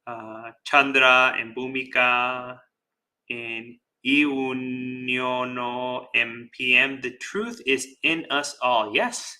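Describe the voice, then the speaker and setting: A man with a very bright, forceful voice at a middle pitch gives a Buddhist dharma talk. He speaks deliberately, pausing and repeating phrases for emphasis, and often checks in with a short rhetorical question. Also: fairly even intonation. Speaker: Buddhist monk and teacher.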